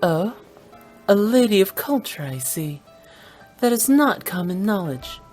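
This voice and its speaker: A woman's voice: mid-range voice, sing-song, measured pace, darkish tone, soft background music. An actress playing a playful, knowing, mock-formal young female funeral director in a video game. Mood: teasing. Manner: theatrical, teasing, mock-formal.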